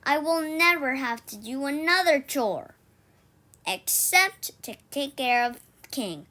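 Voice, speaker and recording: A woman voicing a pleased young girl in a storybook, exclaiming in drawn-out phrases. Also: sits high, expressive, sharp tone, voice pushed up, exaggerated voice. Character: young girl pleased with her trained dog.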